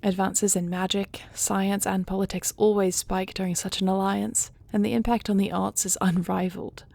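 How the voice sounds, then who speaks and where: A woman playing a gracious, earnest dragon shifter queen in a scripted audio roleplay, speaking in formal, measured diction. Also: mid-range voice, little pitch movement, gravelly, darkish tone. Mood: tender, reflective.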